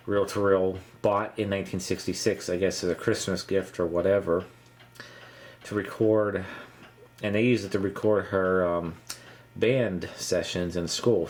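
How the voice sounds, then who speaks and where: Low-voiced man, halting delivery. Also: a little mellow. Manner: halting, matter-of-fact. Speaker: vintage electronics hobbyist presenter. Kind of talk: casual unscripted show-and-tell narration.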